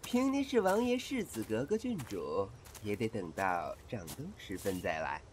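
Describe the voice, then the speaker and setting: A man's voice: lowish voice, sing-song, normal speed, a little mellow, a little hiss or hum. A man playing a languid, shrewd, handsome young pleasure-house boss in a comedy audio drama, haughty and drawling. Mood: annoyed, commanding.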